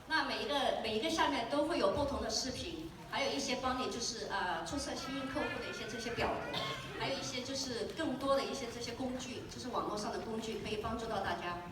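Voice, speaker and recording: A woman interpreting a stage talk consecutively, restating points quickly with fillers. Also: a little high, crowd noise. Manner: quick, with fillers, restating in her own words. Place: large convention hall. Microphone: handheld mic, recorded from far in the audience. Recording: echoey hall taped from far off, noisy.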